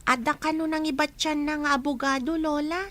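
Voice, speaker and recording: A woman's voice: high voice, steady tone, a little fast, a bit bright. A woman playing an anxious, frank young grandchild who pleads plaintively in a radio drama. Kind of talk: Filipino radio drama acting.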